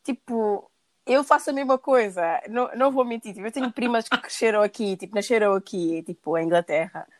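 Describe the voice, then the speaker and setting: A woman's voice: on the high side, fairly quick, no room sound. A young adult woman in casual conversation, candid and chatty with plenty of fillers. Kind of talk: casual chat.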